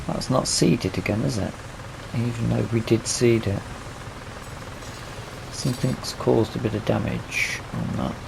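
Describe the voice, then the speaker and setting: Man, slow gravelly gaming commentary. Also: mid-range voice, lively intonation, noisy background. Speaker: gaming video creator. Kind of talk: casual let's play commentary.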